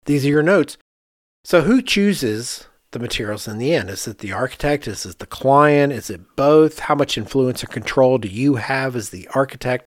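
A man, an architect hosting a podcast, chatting casually and telling animated anecdotes in which he quotes other people. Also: mid-range voice, moderate pace, no echo, studio quality.

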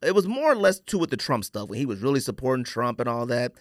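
American guy hosting a podcast, real animated n slangy, dry close sound. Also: medium pitch, fast talker, no echo. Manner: emphatic, slangy, repeats phrases for emphasis. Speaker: podcast host. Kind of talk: casual, opinionated podcast chat.

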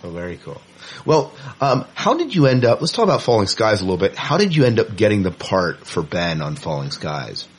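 A male interviewer with a notably deep voice and a husky edge. He talks casually as a co-host, feeling his way loosely into each question. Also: wildly swooping pitch, brisk.

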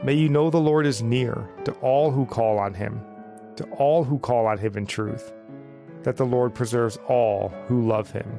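A man's voice: medium pitch, a little slow, music in the background. A man hosting a devotional podcast, reading scripture and blessings.

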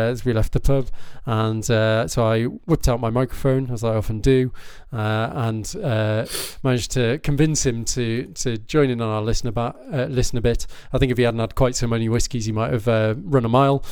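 A man's voice: mid-range voice, darkish tone, clean tone, no echo, clean studio sound. A man from Newcastle co-hosting a casual whisky podcast, talking in a chatty, rambling way with plenty of fillers. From Newcastle, England. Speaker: podcast co-host.

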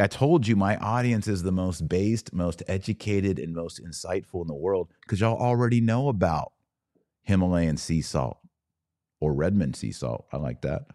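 Man, low deep voice, dry close sound, podcast host, informal and slangy, emphatic livestream chat. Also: lively intonation, measured pace, a little mellow, no room sound. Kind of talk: casual livestream chat answering viewer comments.

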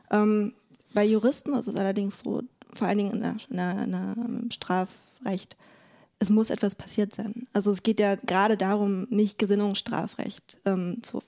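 A woman's voice: medium pitch, gravelly, dark tone. A woman speaking as a legal academic guest, explaining in conversation, careful and hesitant as she searches for words.

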